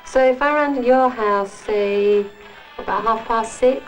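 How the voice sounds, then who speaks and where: An actress as a chatty young British mother making a phone call, her voice fairly high. It is an acted scene from a 1980s public safety film. Loud music runs over the old soundtrack. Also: measured pace, lightly acted. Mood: chatty, bright.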